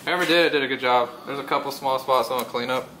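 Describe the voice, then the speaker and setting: A man calmly explaining a car restoration in a barn, matter-of-fact, with plenty of filler words. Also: medium pitch, fast talker. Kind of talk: casual explanation. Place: old barn. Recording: noisy camera audio.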